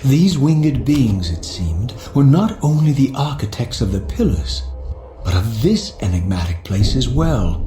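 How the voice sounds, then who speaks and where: A man voicing an undead, brooding former vampire, narrating a dramatic video game story in formal, measured, theatrical diction. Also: medium pitch, echoey room. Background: music.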